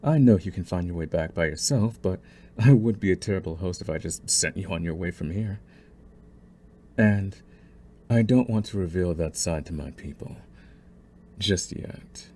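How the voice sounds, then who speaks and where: A man performs a young mafia heir in an ASMR drama, possessive and scheming. The voice sits very low and moves deliberately, with smug, mock-polite menace. Faint noise sits in the background. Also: animated, mellow. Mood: mock courtesy. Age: young adult. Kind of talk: ASMR roleplay acting.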